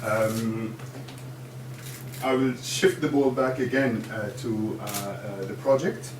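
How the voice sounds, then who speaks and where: A man from Syria chairing a conference panel, speaking haltingly with many fillers and restarts. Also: lowish voice, fairly even intonation. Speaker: panel moderator. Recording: echoey room from far off, background noise.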